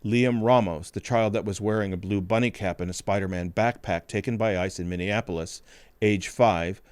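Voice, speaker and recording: Guy with a lowish voice reading serious news copy, steady and grave, dry close sound. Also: moderate pace, clear, resonant tone, dry recording. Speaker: podcast host and journalist. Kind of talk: scripted news narration.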